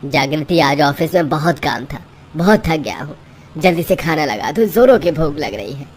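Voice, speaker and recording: A woman's voice: sits low, lively intonation, moderate pace, a little mellow, slight background noise. A woman voicing a hungry, grumbling young husband, tired from office work, in a moral-story cartoon. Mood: tired, complaining. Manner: complaining, fed up.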